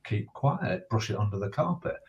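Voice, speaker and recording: A middle-aged or older British man speaking reflectively in conversation as a guest, earnest and measured, with hesitant pauses. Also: lowish voice, animated, muffled recording. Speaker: interview guest, bereaved father and campaigner.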